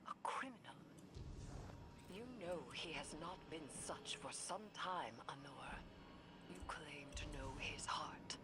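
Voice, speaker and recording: A woman playing a grave, wise mystical seer in a video game, speaking in a solemn, formal, measured manner. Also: low-pitched, heavy background noise. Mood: firm, reproving. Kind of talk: dramatic scripted game acting.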